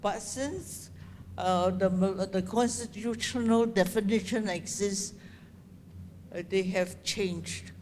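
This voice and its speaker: Slow-spoken woman answering in a hall. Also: medium pitch, a little mellow, a little hiss or hum. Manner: measured, thinking aloud with pauses. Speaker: seminar speaker answering questions. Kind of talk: Q&A answers at a seminar. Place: seminar room in a library. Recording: reverberant seminar hall.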